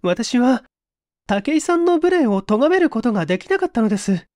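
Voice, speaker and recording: Casting: actress as distressed young working woman, very high voice, formal and hesitant, dry studio sound, mystery audiobook. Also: big pitch swings, moderate pace, dark tone, no room sound. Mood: earnest recounting. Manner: formal, deferential, hesitant speech. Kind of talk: dramatized audiobook reading.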